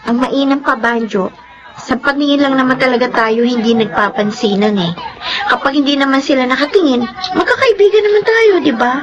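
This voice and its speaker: An actress playing a friendly young woman chatting softly in a radio drama. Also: high-pitched, brisk, dull audio, lightly acted.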